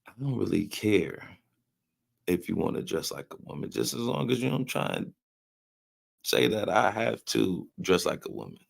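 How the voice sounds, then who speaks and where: A man hosts a casual live stream, talking loosely and teasing the people in the chat. His voice sits low with a gravelly edge, and its pitch swings up and down a lot as he talks. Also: sing-song, moderate pace. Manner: loose and joking, talks to viewers in chat by name. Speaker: livestream host.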